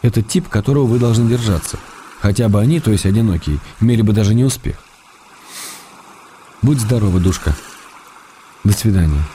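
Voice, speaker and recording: An actor playing a wry, affectionate man writing letters to his wife, read in a calm, measured, gently ironic way. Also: on the low side, slight background noise, lightly acted. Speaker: letter reader. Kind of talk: radio drama letter reading.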